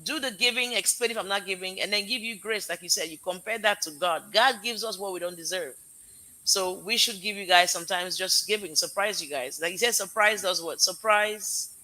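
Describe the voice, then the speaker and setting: Woman, Nigerian accent with American edge, live-stream host, piercingly bright tone, gushing and rambling thanks. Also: mid-range voice, brisk, low-quality recording. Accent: Nigerian with American mix. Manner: effusive, heartfelt, rambling thanks. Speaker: live show host, parent. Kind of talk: casual live-stream wrap-up.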